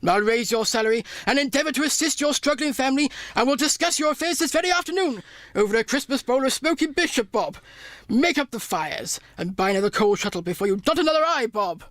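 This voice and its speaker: Radio play: elderly ex-miser, giddy and effusive, voice pitched way up, full of exclamations. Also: male voice, animated, fast, fairly bright tone, no room sound. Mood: warm, merry. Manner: exclamatory, effusive, repeats phrases. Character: reformed old miser, giddy, generous, gleefully excited gentleman. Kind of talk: radio drama acting.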